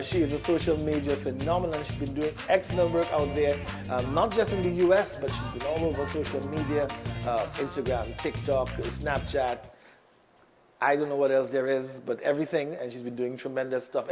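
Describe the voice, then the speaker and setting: A man's voice: medium pitch, moderate pace, music in the background. A man hosting a talk show, chatting in an easygoing way with plenty of fillers. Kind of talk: casual interview chat.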